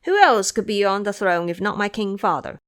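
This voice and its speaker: A woman puts on a young male crown prince, haughty and quick to temper. The delivery is imperious, with animated rises and falls suited to audio drama. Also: medium pitch, smooth. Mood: earnest. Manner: imperious, indignant.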